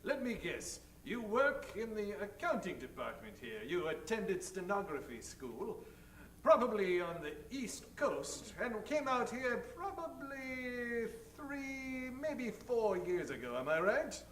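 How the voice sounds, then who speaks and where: Man acting as smug rich gentleman detective, roomy stage. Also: sits high, very animated, slow, heavily acted. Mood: self-assured. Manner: pompous, theatrical. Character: smug wealthy gentleman amateur detective, breezily self-assured. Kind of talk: improvised old-time radio comedy acting. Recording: roomy live stage, distant mic, faint noise.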